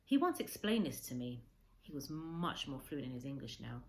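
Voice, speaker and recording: A woman reading a storybook aloud as a teacher would, expressively, with measured dramatic emphasis. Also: extremely low pitch, sing-song.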